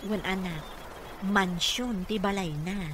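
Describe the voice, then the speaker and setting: A woman's voice: mid-range voice, fairly even intonation, takes it slowly, natural voice. An actress playing a dutiful single mother who works as a caregiver, in Ilocano-accented radio drama, with a deferential, coaxing manner. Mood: gentle, explaining. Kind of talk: Filipino radio drama acting. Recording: noisy radio drama with background ambience.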